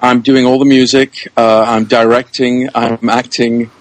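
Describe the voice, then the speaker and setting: A man talking casually as an interview guest, thinking aloud and pausing as he works out his answers. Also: mid-range voice, flat delivery, no room sound, rough recording. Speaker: interview guest, show creator, writer and director.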